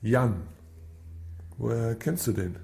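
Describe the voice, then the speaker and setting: An actor playing an impatient, sarcastic police detective in charge in a radio drama, brusque and commanding. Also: male voice, mid-range voice, takes it slowly, vocal fry, a little mellow, echoey room. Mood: pressing, stern.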